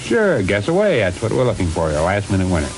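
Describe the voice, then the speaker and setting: Male American vintage radio host, rapid chatty patter, music underneath, archival AM sound. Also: on the low side, huge pitch swings, low-quality recording. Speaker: late-night radio show host.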